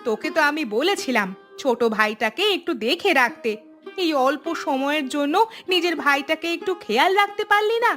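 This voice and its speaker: A woman's voice: high-pitched, animated, fairly quick, fairly bright tone, faint music behind. A woman voicing an exasperated village mother in a cartoon, scolding and complaining about her mischievous children. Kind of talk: Bengali cartoon voice acting.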